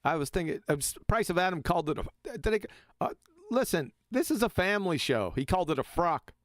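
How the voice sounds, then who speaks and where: Lively, fairly high-voiced teasing man. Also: fairly quick, no room sound, studio quality. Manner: animated, teasing, laughs a lot. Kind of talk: casual group voice chat.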